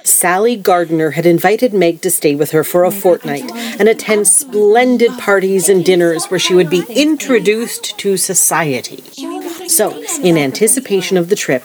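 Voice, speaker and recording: A woman's voice: on the low side, close to an everyday voice. A woman narrating a period tale in an audio play, in a composed, scripted storybook style.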